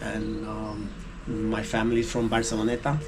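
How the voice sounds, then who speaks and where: A man interviewed as a guest actor and producer, talking haltingly with many fillers and restarts. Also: lowish voice, monotone, over music. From United States. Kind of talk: casual interview. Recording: noisy location recording.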